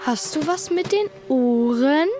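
A woman's voice: high voice, lively intonation, unhurried, music in the background. A woman playing a mocking schoolboy bully who taunts other children in a German children's radio play. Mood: cruel taunting.

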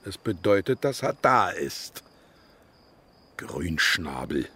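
Gruff, low-voiced older man. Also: sing-song, takes it slowly, bright, forceful tone, full character voice. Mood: insistent. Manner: dry, grumbling, laconic. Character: gruff older man, skeptical, grumbling, lonely, kind underneath. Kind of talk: German children's radio play acting.

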